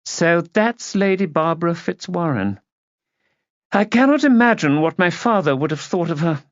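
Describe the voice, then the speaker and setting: A man's voice: on the high side, sing-song, moderate pace, no echo, highs cut off, pitched above the performer's own voice. A man voicing a haughty, imperious upper-class woman in an audiobook, clipped, condescending and cutting. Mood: scornful. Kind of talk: audiobook character voice.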